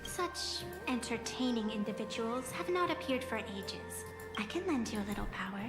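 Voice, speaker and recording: A woman's voice: on the high side, lively intonation, natural voice. A woman playing a mysterious, playful, commanding masked entity in a video game, theatrical and teasing. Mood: amused, imperious. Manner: theatrical, slow, teasing and grandiose. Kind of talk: video game character acting. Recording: echoey hall reverb over loud music.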